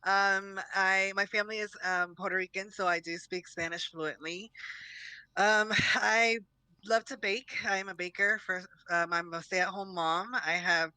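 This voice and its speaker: A woman from Miami introducing herself as a podcast co-host, relaxed and hesitant with many ums. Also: mid-range voice, measured pace, clean tone, dry recording, poor audio. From Miami, United States. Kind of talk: casual self-introduction.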